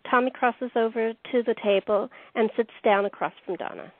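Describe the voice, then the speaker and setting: A woman's voice: fairly high pitch, steady tone, normal speed, no echo. A woman narrating a radio play from a script, reading out stage directions. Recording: thin phone-like line.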